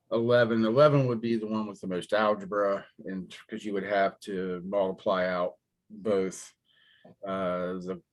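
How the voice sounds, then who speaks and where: A very low-pitched man's voice, raspy and hoarse from a sore throat. He teaches math step by step, pausing often with ums and clearing his throat. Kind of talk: classroom lecture walkthrough.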